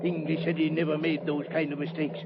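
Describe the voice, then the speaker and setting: Villain: articulate, haughty crime boss, fairly high voice, quick-tempered; noisy old radio western. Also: male voice, flat delivery, vocal fry, highs cut off. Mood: irritated, scornful. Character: irascible, articulate smuggler boss, haughty and scheming.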